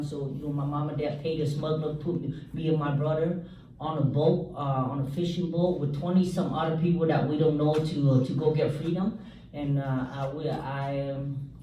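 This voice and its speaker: A man from South Vietnam, giving a halting church testimony full of fillers and joking asides. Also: on the high side, lo-fi sound, slight background noise. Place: church hall. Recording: echoey hall, mic from far off.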